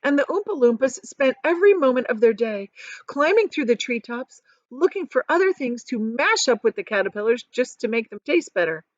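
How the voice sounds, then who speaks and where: A woman acts out an eccentric male chocolate factory owner from a storybook, proud and excitable. The delivery is theatrical and very animated, high in pitch, with key words stretched out. The sound is dry and a little lo-fi. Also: fairly quick, dry recording. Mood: dramatic, pitying.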